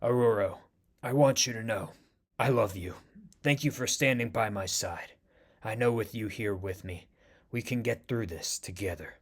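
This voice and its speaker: A man voicing a fierce young male warrior king who gives urgent, commanding shouts. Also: lowish voice, moderate pace, husky, voice pushed down. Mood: tender.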